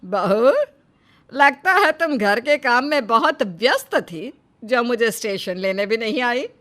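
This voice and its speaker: A stern, fault-finding older mother-in-law played by a woman in a moral story drama. Her fairly high voice nags, taunts and questions like someone used to giving orders. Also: lively intonation, measured pace, a bit bright. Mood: taunting. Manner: taunting, bossy, interrogating.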